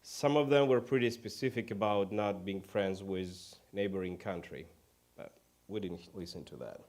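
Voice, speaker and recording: A man from Ukraine with a Ukrainian accent preaches informally in English as a second language, halting and self-deprecating. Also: deep voice, darkish tone. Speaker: guest preacher. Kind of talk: informal sermon.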